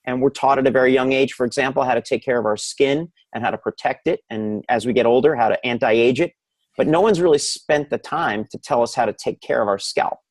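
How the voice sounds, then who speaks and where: A man's voice: mid-range voice, a little fast, no echo. A male physician explains his specialty as an interview guest. His voice sits in a middle range and is recorded dry, in a conversational, explanatory style. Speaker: hair restoration physician, expert guest.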